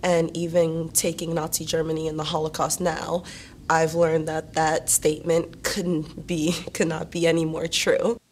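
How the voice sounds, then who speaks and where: Young female interviewee, low and level delivery, faint noise behind her. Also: flat delivery, measured pace, darkish tone. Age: young adult. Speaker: student interviewee. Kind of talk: interview soundbite.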